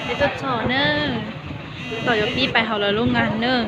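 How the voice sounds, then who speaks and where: A woman's voice: on the high side, normal speed. A woman in casual chat, talking informally. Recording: muffled phone-video sound, loud background noise.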